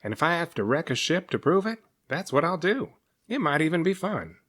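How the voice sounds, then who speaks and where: A man voicing a giant, gentle, good-hearted sea serpent in a storybook, earnest and easily offended. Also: fairly high pitch, big pitch swings, brisk, voice pushed up. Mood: indignant. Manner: grumbling, earnest.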